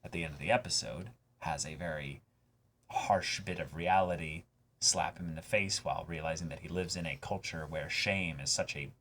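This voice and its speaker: A man's voice: extremely low pitch, normal speed, a bit bright. A man co-hosting a reaction show, discussing casually and emphatically, swearing and acting out imagined quotes. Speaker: co-host of an anime reaction show.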